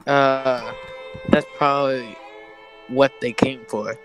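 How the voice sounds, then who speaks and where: A man acts a rattled survivor of a frontier raid in a game roleplay. He speaks at a middling pitch, subdued and faltering, repeating words, with faint guitar music underneath. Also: fairly even intonation, slow pace, a bit bright. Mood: shaken, subdued. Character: shaken, subdued male survivor of a bandit raid. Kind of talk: improvised Minecraft roleplay.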